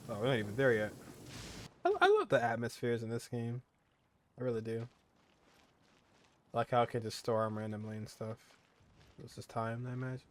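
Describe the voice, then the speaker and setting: A man's voice: mid-range voice, very animated, fairly quick, pauses often, slight background noise. A man giving casual gameplay commentary, musing aloud in a playful way. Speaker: gamer playing on camera or stream.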